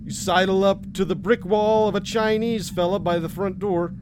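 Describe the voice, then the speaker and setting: A man narrating a noir story in the second person as game master, with a wry, deadpan delivery. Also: high-pitched, moderate pace, noisy background.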